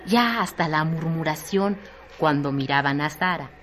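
A woman's voice: sits low, unhurried. A woman playing a grave storyteller, narrating a scripted radio drama about village life. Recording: clear background noise.

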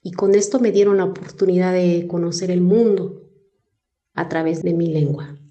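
A woman poet from Chiapas, Mexico, whose first language is Zoque, reciting her poems in a slow, measured way. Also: fairly low pitch, steady tone, highs cut off, background noise. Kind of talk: poetry recitation.

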